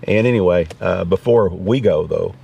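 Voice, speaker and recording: A man's voice: low voice, normal speed, mellow, recorded from a distance. An American man hosting a casual, unscripted gear review in a folksy, rambling way. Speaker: vlog host reviewing gear. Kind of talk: casual unscripted product talk. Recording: clear background noise.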